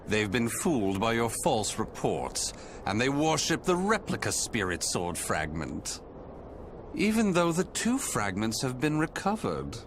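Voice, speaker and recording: An actor playing a theatrical, grandiose mad scholar villain in a video game, florid and condescending with false affection. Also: male voice, medium pitch, very animated, measured pace, background noise. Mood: plotting. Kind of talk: video game cutscene acting.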